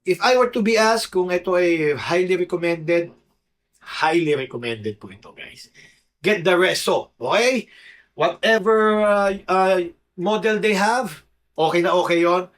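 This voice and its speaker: A man's voice: sits high, animated, unhurried, fairly bright tone. A Filipino man giving a casual product review to camera, informal and often checking in with short tag questions. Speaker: motorcycle gear reviewer.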